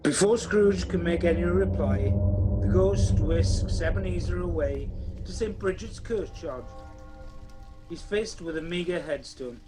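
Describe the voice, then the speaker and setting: A man's voice: on the high side, a little slow, music in the background, lightly acted. A man acting as the storybook narrator of a ghost tale, reading scripted radio play narration. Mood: measured storytelling. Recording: faintly noisy home recording.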